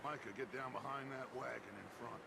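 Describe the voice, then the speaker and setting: An actor playing a middle-aged, silver-tongued outlaw gang leader in a video game, theatrical, courteous and persuasive. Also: male voice, mid-range voice, fairly even intonation, fairly quick, vocal fry, heavy background noise. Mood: commanding. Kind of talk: dramatic video game acting. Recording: music behind the voice.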